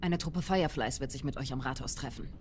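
A tough rebel boss lady from a game, deep voice, firm, noisy ambience behind. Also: fast talker, darkish tone. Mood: resolute, reassuring. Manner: firm, authoritative. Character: wounded, commanding middle-aged female rebel leader. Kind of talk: dramatic video game acting, German dub.

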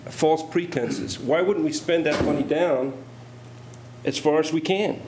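A man's voice: on the high side, unhurried, a little mellow, slight background noise, low-quality recording. A middle-aged man alderman questioning budget figures with skeptical, pointed challenges during a city council debate, speaking into a desk microphone.

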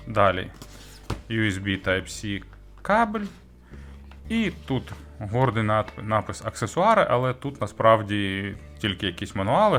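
A man giving a conversational, explanatory product review as host, often pausing mid-sentence to find his words. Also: on the low side, fairly bright tone. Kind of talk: semi-scripted product review talk.

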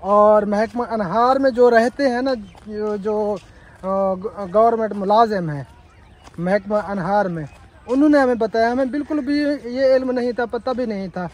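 A man's voice: sits high, measured pace, a little mellow. A man, chatting casually to viewers while filming himself outdoors in a village. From Pakistan. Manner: chatty, pious, showing things to viewers. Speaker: vlogger. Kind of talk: casual village vlog narration. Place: outdoors in rural fields and a village courtyard. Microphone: phone held at arm's length.

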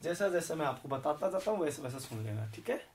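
Young man, hoarse, chemistry teacher, asks and answers his own questions, heard from a distance. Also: fairly high pitch, expressive, fast talker, darkish tone. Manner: explains step by step, asks questions and answers them himself. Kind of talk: whiteboard lecture. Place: indoor classroom in front of a green board.

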